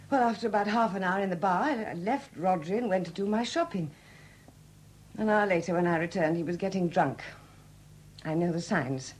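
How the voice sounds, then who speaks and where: Actress as a weary, hesitant widow, noisy radio recording. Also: female voice, fairly low pitch, darkish tone, lightly acted. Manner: hesitant, halting, repeating words. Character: weary, flustered newly widowed sculptress, candid and proud. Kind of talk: 1970s BBC radio drama acting.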